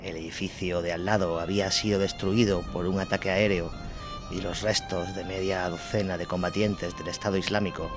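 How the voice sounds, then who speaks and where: A man's voice: low voice, level tone, normal speed. A man narrating a scripted war story in a dramatic documentary style. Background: music.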